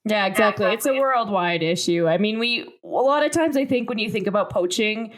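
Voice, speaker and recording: A woman's voice: medium pitch, lively intonation, fairly quick. A young adult woman hosting a science podcast in casual chat, joking and explaining plainly. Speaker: podcast host.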